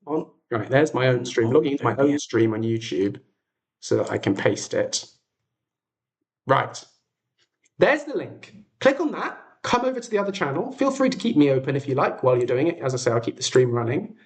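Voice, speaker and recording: A man's voice: medium pitch, very animated, moderate pace. A man chatting casually on a livestream as its host, rambling and self-deprecating, in an echoey untreated room. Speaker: livestream host and audio drama creator. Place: echoey room at home, makeshift streaming setup.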